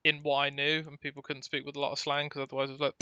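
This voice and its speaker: A man with a very bright, forceful voice chats casually as a podcast co-host. His tone is clean and crisp, sitting a little high, and he rambles informally through what he has to say. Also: completely flat delivery, very fast, dry recording, highs cut off.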